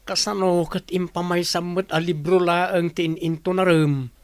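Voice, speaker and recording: A cheeky young man in a radio play, the teasing pal. His voice is fairly high for a man, with a gravelly creak. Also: little pitch movement, normal speed, dry recording. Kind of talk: radio drama acting.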